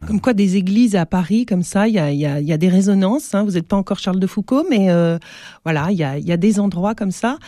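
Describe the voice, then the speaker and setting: A woman hosting a radio interview, chatty and quick to react. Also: mid-range voice, animated, mellow, no echo, studio quality. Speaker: radio host. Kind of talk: radio interview and scripted intro.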